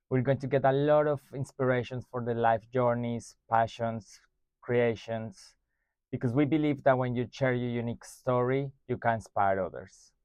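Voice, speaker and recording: Smooth-voiced male podcast host. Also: medium pitch, a little mellow, no room sound, dull audio. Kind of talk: podcast interview.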